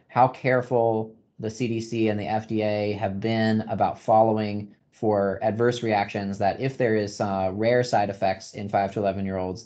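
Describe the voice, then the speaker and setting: Low male voice, muffled call sound. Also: a little mellow. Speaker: physician panelist. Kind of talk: explanatory panel answer. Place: indoors, on a video call. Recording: dull video-call audio.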